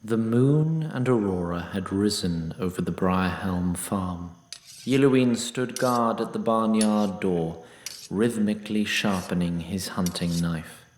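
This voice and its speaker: A man's voice: low-pitched, measured pace, a little mellow. A man narrating a scripted fantasy story in a formal, wry manner. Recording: roomy echo.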